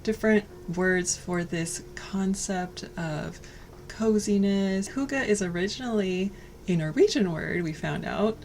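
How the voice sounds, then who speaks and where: An American woman co-hosting a lifestyle vlog, talking in a soft, warm way and laughing often. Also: mid-range voice, measured pace, slight background noise. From United States. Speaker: vlog co-host. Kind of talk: casual cozy chat and sponsor read.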